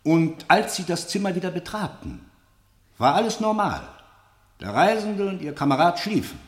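A hard, authoritative male general in a German radio drama. His delivery is probing and sardonic, with lively, swooping intonation. The room around him echoes clearly. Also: high-pitched, normal speed. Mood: probing, sceptical. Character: stern male military general conducting a harsh interrogation. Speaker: actor. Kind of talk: German radio play acting. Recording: roomy, echoing studio radio play.

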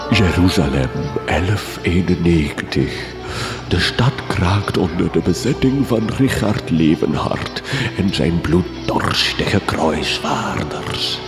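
An actor playing a doom-laden count in a gothic comedy radio drama, theatrical and portentous, with archaic diction. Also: male voice, sits low, lively intonation, takes it slowly, exaggerated voice. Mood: solemn storytelling. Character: doom-laden count recounting his ancient failed covenant. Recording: roomy reverberant sound, music behind.

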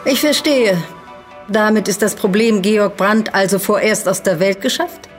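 An actress playing a haughty, power-hungry member of a secret order in an audio drama, speaking in a cool, condescending way. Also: female voice, medium pitch, unhurried, faint music behind, lightly acted. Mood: cool, probing.

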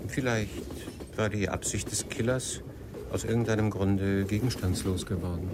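An actor in a German radio drama playing an evasive, secretive middle-aged husband who also narrates, in a dry, wry manner. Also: male voice, on the low side, moderate pace, background noise, natural voice. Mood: controlled, guarded.

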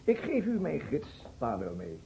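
A man's voice: medium pitch, huge pitch swings, a little slow, vocal fry, background noise, exaggerated voice. A man acting in a radio play as an agitated, pleading boyar ruler who repeats himself and speaks effusively. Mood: effusive, insistent. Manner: effusive, repeats himself, swears earnest oaths. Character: agitated, pleading male boyar ruler of a war-torn realm.

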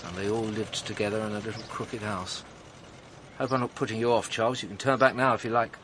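A man's voice: lowish voice, fairly even intonation, brisk, noisy background, lightly acted. An actor playing a wry, pressing male chief inspector in a radio drama, with a dry, blunt, needling way of talking. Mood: wry, sardonic.

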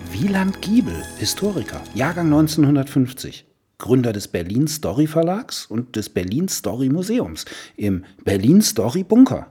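A man's voice: medium pitch, very animated, moderate pace, dark tone, husky. A man talking casually as co-host and reporter of a radio documentary. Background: faint music.

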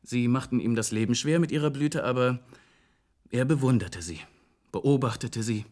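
Casting: male actor for gentle cellist son in radio play, mid-pitched, courteous and hesitant, formal diction. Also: normal speed, close to an everyday voice. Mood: reflective. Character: polite, hesitant cellist, grieving son, unworldly with technology. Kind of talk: German radio play acting.

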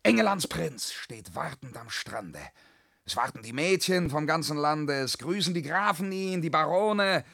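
Radio-play peasant lad, young, dreamy and boastful, husky rough voice, sweeping pitch, declaiming restlessly. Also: male voice, animated, unhurried, fairly bright tone, no room sound, studio quality, full character voice. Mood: dreamy, grandiose. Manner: declamatory, swinging from brooding murmur to exuberant cries. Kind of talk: theatrical radio drama acting in verse.

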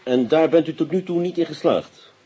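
An actor playing a shrewd police inspector in a radio play, asking clipped, politely insistent questions and muttering to himself. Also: male voice, fairly high pitch, normal speed, mellow, breathy edge. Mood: probing, polite. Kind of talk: Dutch radio drama acting. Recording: dull, noisy old radio recording.

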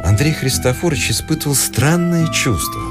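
A man's voice: on the high side, expressive, moderate pace, music in the background, close to an everyday voice. A man narrating a literary story as part of a radio play.

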